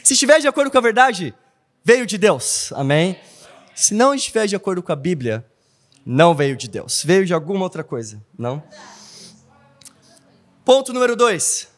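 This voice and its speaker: A young man from Brazil with a Brazilian Portuguese accent, preaching a sermon in a church hall, telling stories and often checking in with "não?". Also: high voice. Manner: animated storytelling, asks "não?" often.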